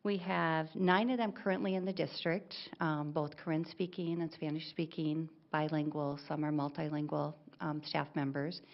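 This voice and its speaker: A woman's voice: low voice, little pitch movement, normal speed, vocal fry, hardly any pauses, dull audio. A woman school administrator giving a plainspoken presentation at a board meeting, stopping now and then to correct herself.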